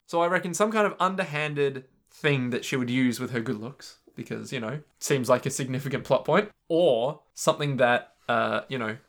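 A man's voice: mid-range voice, moderate pace, few pauses. Rambling, joking man. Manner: playful, rambling guesses with many fillers. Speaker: podcast co-host. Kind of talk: casual chat.

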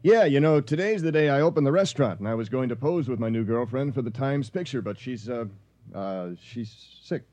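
A man's voice: medium pitch, lively intonation, a little fast, dark tone, no echo, lightly acted. An actor playing a flustered, boastful, jealous man in a radio comedy, stammering and blustering. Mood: nervous bragging.

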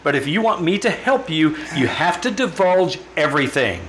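Male actor as probing doctor, higher-pitched, measured, authoritative, old-time radio drama, lightly noisy recording. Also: sing-song, a bit bright. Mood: stern. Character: probing, firm male doctor questioning a patient.

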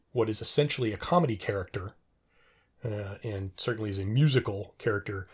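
A middle-aged man in a casual trivia discussion, rambling and thinking out loud as he talks. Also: lowish voice, slightly rough. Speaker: podcast co-host.

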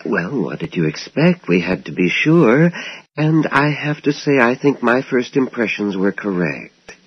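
Smoothly sinister dentist, swooping intonation. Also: male voice, mid-range voice, very animated. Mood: smug, probing. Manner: smooth-talking, deliberate, polite with veiled menace. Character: genial, sinister male dentist boss, calmly controlling. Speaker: dentist and employer. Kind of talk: 1980s radio suspense drama acting. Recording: dull, lo-fi 1980s radio recording.

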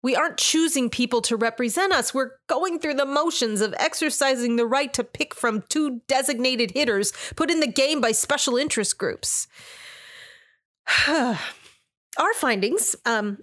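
A blunt, sardonic woman lecturing lawmakers, as an actress plays her. Her voice sits high, and her delivery stays pointed and dry-humored throughout. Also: expressive, moderate pace, fairly bright tone. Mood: scolding. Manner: blunt, sardonic, full of baseball metaphors. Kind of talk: stage monologue.

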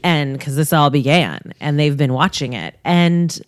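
Upbeat low-voiced woman, motivational. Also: measured pace, no echo, clean studio sound. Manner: upbeat, motivational, rises when giving advice. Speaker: guest. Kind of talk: casual interview talk.

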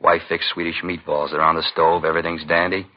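An actor playing a folksy, grumbling police detective in a 1950s radio drama, conversational and plaintive. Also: male voice, sits low, level tone, brisk, close to an everyday voice. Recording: dull old radio recording.

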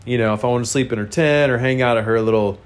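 A man's voice: mid-range voice, fast. Man, nasal congested voice, casual storytelling, rambling, frank, lots of fillers.

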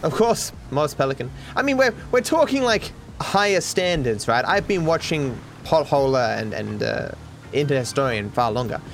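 A man's voice: medium pitch, expressive, normal speed, a little hiss or hum. A tired man from Australia with a cultivated Australian accent, musing as he answers viewer questions in a casual ramble, trailing off with fillers and restarts.

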